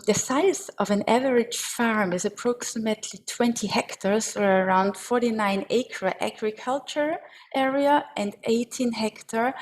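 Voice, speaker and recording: A woman with an Austrian German accent giving a slide presentation in a webinar, hesitant and careful, with many pauses and fillers. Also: on the high side, rough recording.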